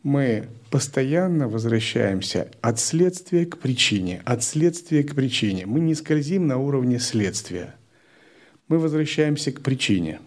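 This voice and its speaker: A man giving a spiritual lecture, explaining step by step in a calm, methodical way. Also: medium pitch, a little slow. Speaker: spiritual teacher.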